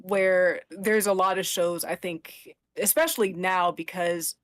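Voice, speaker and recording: A woman's voice: fairly low pitch, steady tone, unhurried, no echo. A woman podcast panelist chatting casually and emphatically as a fan. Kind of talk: casual chat about a TV show.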